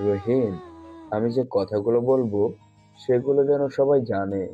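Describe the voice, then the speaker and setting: A man voicing a dying elderly prime minister, speaking gravely and with resignation. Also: mid-range voice, normal speed, faint music behind, lightly acted. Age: older. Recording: dull muffled lo-fi audio.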